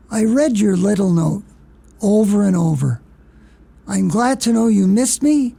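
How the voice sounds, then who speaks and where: A man playing a genial, sentimental older shop owner with a gravelly voice and halting, hearty delivery in a radio play. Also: high voice, warm tone, full character voice. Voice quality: gravelly, creaky. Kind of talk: old-time radio theatre acting.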